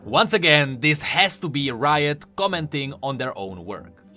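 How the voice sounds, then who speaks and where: Male game lore explainer: highish, swooping pitch, emphatic and enthusiastic, light music underneath. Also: very animated, unhurried, bright, forceful tone, dry recording. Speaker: video game lore commentator. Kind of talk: explanatory commentary voice-over. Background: faint music.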